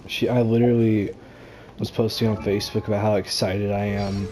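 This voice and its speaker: A man's voice: fairly low pitch, a little mellow, slight background noise. A young man giving casual, blunt and sarcastic reaction commentary as he plays through a video game, swearing freely. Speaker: gamer recording a playthrough. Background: video game sound.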